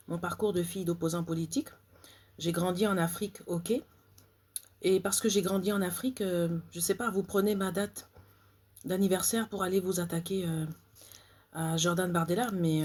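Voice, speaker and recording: A woman from Côte d'Ivoire addresses her audience directly in a vlog. Her voice sits low and sounds husky. She talks in an indignant, halting way, stumbling over fillers and repeating herself. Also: darkish tone. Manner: indignant, hesitant, many fillers and repeated phrases. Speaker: author. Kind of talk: direct-to-camera vlog rant.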